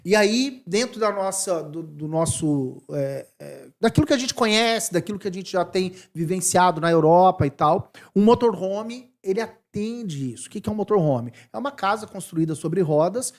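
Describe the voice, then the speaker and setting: A man from Brazil in his forties, a missionary talking casually in an interview, animated and thinking aloud with restarts. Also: sits high, moderate pace, few pauses. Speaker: missionary guest.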